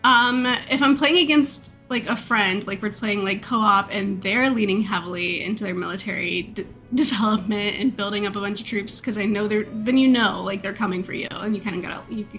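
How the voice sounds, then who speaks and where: A woman in her thirties streaming a game, talking casually with a stuffed-up nasal voice and a slight croak, rambling with fillers and laughs. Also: medium pitch, fairly quick, fairly bright tone, soft background music. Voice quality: a bit croaky. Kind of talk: casual chat with viewers.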